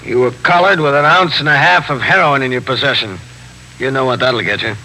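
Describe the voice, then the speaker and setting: Brisk, forceful police captain. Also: male voice, mid-range voice. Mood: stern. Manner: terse, probing, matter-of-fact questioning. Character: brisk, authoritative, unimpressed police precinct captain. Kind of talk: 1950s radio drama acting. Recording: noisy 1950s radio broadcast recording with hum.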